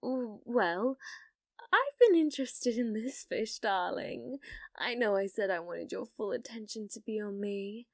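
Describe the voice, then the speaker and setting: Girl voice actor doing clingy yandere girlfriend asmr, higher voice, really slow, sweet but kinda threatening, super dry close sound. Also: lively intonation, no echo. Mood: adoring, obsessive. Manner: sugary, coaxing, with veiled menace. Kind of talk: ASMR roleplay, addressing the listener as a date.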